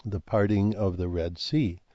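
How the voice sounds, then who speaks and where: An older man talking in a rambling monologue, halting with many fillers and restarts, and losing his voice. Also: deep voice, steady tone, no echo, highs cut off. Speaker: speaker on ancient history and comets.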